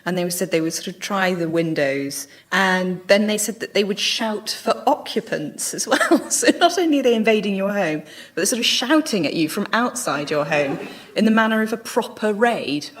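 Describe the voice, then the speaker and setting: A woman's voice: medium pitch, lively intonation, moderate pace. A woman bantering and explaining comically as a panellist on a comedy show.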